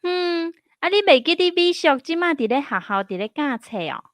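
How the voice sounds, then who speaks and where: A woman playing an attentive, gently firm mother in a radio drama, with a Taiwanese accent. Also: very high-pitched, animated, moderate pace, dry recording, studio quality. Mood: gently correcting.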